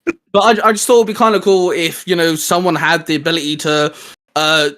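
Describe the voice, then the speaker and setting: A man's voice: a little high, steady tone, fairly quick, sharp tone. A man with an English accent hosting a casual, geeky chat, explaining things in a hesitant, rambling way. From England. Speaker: host of a fan discussion channel. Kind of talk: casual geeky discussion among friends.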